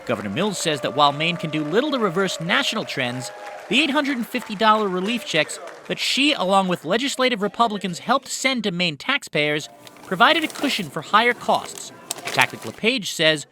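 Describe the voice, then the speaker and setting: A man's voice: high voice, big pitch swings, brisk, background noise. A man working as a television news reporter, reading a scripted narration.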